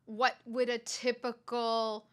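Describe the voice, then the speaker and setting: A chatty woman in her forties from Canada, whose first language was a Calabrese dialect. Her voice is bright and clear, and she talks in a lively way with frequent laughs, like a guest on a podcast. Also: fairly high pitch, slow. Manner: animated, laughs often, chatty. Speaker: guest chef. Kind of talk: casual podcast interview. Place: hotel room.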